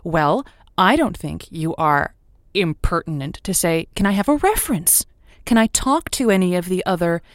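A woman's voice: lowish voice, animated, normal speed, dry recording, studio quality, natural voice. An actress playing an effusive, coaxing female radio host who gives chatty, encouraging romance advice in an audio drama. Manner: effusive, coaxing, pet names for listeners. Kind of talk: semi-improvised audio drama, late-night call-in radio host.

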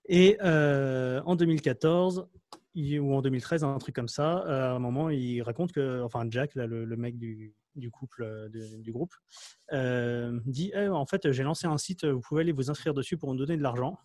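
Man, mid-pitched voice, informal slangy storytelling, casual podcast chat, very dry sound. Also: normal speed, darkish tone, no echo. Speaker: podcast panelist.

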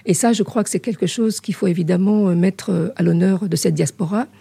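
Warm, husky-voiced woman politician. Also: fairly low pitch, no echo. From Morocco. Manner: measured, hesitant, with frequent pauses. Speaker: politician guest. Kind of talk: interview answers.

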